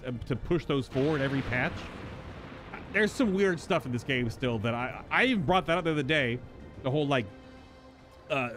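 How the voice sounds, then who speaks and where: Male streamer, animated intonation, casual thinking-out-loud game commentary, faint music underneath. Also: on the high side. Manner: rambling, musing, thinking aloud. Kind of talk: casual live stream commentary, reading game dialogue aloud.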